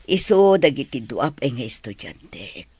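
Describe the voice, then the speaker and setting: A woman's voice: extremely low pitch, very animated, a little slow, warm tone, husky, dry recording, full character voice. A woman playing an ailing, affectionate schoolteacher in a radio drama. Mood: gentle. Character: ailing, affectionate female schoolteacher, welcoming her students. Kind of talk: Ilocano radio drama acting.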